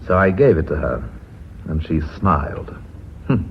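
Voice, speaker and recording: Knighted British company director, radio drama: commanding, formal, lively intonation, on a dull old recording. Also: male voice, rumbling low, gravelly, slight background noise, heavily acted. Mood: warm, reflective. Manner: measured, authoritative, formal.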